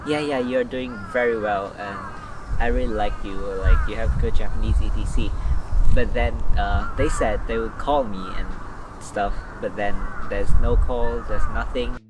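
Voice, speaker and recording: A young man with a lowish voice tells anecdotes in an easy, slangy style. The mic sits well away from him, and clear background noise runs under his speech. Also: steady tone, moderate pace, distant mic. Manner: casual, storytelling with slang. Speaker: student interviewee. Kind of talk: casual interview answers.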